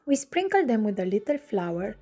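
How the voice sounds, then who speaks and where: A woman from Romania, hosting her cooking channel and narrating a recipe in clear step-by-step instructions from her home kitchen. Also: mid-range voice, big pitch swings, moderate pace, warm tone, soft background music.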